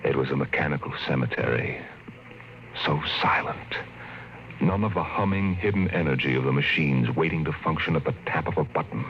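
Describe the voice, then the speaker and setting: A man's voice: extremely low pitch, fairly quick, lo-fi sound. A man narrating a 1950s radio drama with a dramatic storytelling delivery. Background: loud music score.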